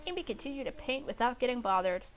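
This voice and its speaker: A woman's voice: on the high side, animated, fast talker. A woman narrating fan fiction and breaking off for irreverent, informal asides. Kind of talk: story narration with casual asides. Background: music.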